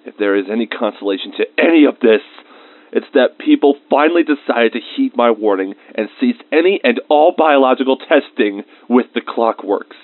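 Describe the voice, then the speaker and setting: A man's voice: medium pitch, expressive, normal speed, dry recording, natural voice. A man playing a research scientist dictating a confiding, emotionally involved audio diary of lab logs. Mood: weary, subdued. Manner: confiding, dictated log entries with emotional asides. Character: anxious male research scientist recording lab logs, increasingly shaken. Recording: phone-like thin band-limited sound.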